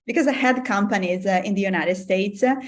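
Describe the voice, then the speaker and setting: Italian woman, halting with many fillers. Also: mid-range voice, a little hiss or hum. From Italy. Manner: hesitant, many "uh" fillers, laughs often. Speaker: guest, business coach. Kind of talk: casual interview chat.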